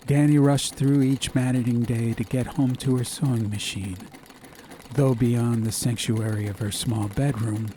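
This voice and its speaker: A man's voice: medium pitch, dark tone, slight background noise. A man reading an atmospheric story as an audiobook narrator, in a scripted literary style.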